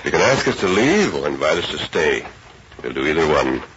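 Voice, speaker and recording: A plain-spoken cowboy of a radio western. His voice is very low and moves freely in pitch. The old recording is dull, with clear background noise. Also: very animated, brisk, fairly bright tone, exaggerated voice. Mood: calm, commanding. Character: folksy ranch hand and calm masked western hero.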